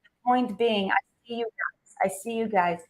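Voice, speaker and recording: A woman's voice: fairly high pitch, normal speed, poor audio. Earnest woman coaching. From Israel. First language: Hebrew. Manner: earnest, repeats herself for emphasis. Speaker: wellness coach and host. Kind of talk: motivational livestream talk.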